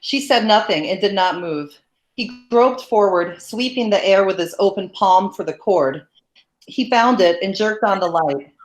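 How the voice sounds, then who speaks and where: A woman narrating a novel in a steady, measured read-aloud. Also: mid-range voice. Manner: steady, even, measured reading. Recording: roomy echo.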